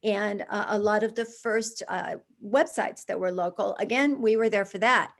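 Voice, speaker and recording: Woman, 60s, interview guest; animated storyteller who restarts and adds asides. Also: medium pitch, normal speed. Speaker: guest, charity founder. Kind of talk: conversational interview.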